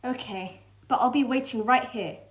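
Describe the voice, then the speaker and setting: A woman's voice: on the high side, normal speed, natural voice. A woman voicing a sensible young female cat, a caring big sister, who speaks cautiously and firmly. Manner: cautious, firm.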